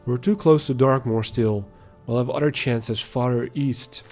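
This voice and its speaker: A man's voice: mid-range voice, measured pace, darkish tone, faint music behind, lightly acted. Brief: cool, scornful young elf thief woman for an audiobook, read by a man in his own voice.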